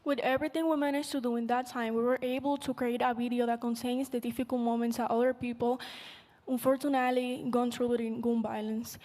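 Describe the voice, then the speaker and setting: A woman's voice: fairly high pitch, little pitch movement, brisk, a little mellow. A teenage girl whose first language is Spanish, making an earnest appeal while presenting her team's project. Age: teens. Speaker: student presenter. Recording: long hall reverb.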